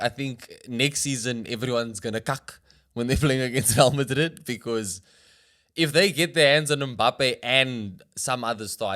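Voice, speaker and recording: A man bantering casually about football as a podcast co-host, slangy and animated in his reactions. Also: mid-range voice. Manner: slangy, keeps saying bro, animated reactions.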